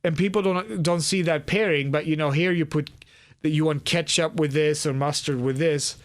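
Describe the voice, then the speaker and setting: A man's voice: on the high side, fairly even intonation, moderate pace. A young man from Sweden, a podcast guest, talking casually with many restarts and fillers. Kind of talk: casual interview chat.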